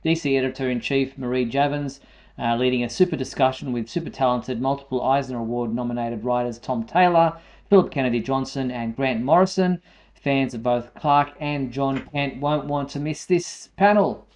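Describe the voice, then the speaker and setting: A man's voice: medium pitch, moderate pace, a little mellow. Male host of an online news show.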